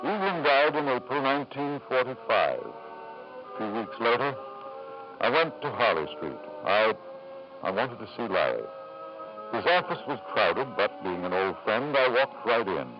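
A muffled old radio drama recording features a self-justifying, titled father. He is urbane and coaxing, pressing his points with lively rises and falls in pitch. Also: measured pace, music in the background. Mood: reflective. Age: middle-aged. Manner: urbane, insistent, repeats questions for emphasis. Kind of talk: 1950s radio drama acting. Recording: dull vintage radio recording.

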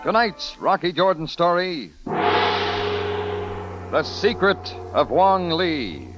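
A man's voice: fairly high pitch, big pitch swings, very slow. A man announcing in 1950s sponsored radio style, with a grand, polished sales delivery. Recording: dull vintage radio, music behind.

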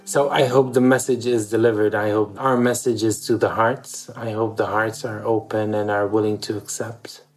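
A man's voice: lowish voice, little pitch movement, moderate pace, few pauses. A man from East Jerusalem speaking as an interview guest in an earnest, reflective way, often repeating words for emphasis. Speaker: interview guest, theater director.

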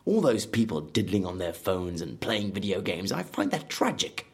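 An actor playing a brash theme park designer, husky and animated, relishing gruesome details in an audio drama. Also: male voice, deep voice, normal speed, heavily acted. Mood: fervent. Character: brash, morbidly enthusiastic male designer of a dangerous theme park.

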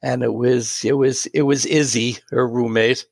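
Halting man in his late sixties. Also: mid-range voice, steady tone, vocal fry, no echo. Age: 60s. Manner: warm, halting anecdotal storytelling with many restarts. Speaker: guest. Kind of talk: storytelling interview.

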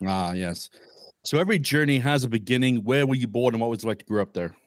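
A man hosts his podcast and reads the intro with an upbeat, announcer-like delivery before asking his guest questions. His voice sits at a middle pitch, and the sound is dry and close. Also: animated, fast talker, no echo. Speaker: podcast host. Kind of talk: scripted intro and interview questions.

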